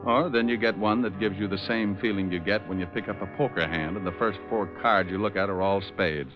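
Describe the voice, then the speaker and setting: A tough, breezy private eye, played by a male actor in a 1940s radio drama. His voice sits fairly low and has a wry, wisecracking edge. It comes through a dull vintage recording with music. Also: brisk, close to an everyday voice. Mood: wry storytelling. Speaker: lead actor and first-person narrator.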